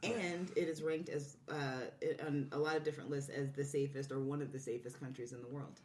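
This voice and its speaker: A low-voiced woman chats as a podcast guest, explaining things in a casual way. She sounds set back from the mic in a roomy space, with faint noise behind her. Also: steady tone, brisk, a little mellow, recorded from a distance. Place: indoor room, recorded in person.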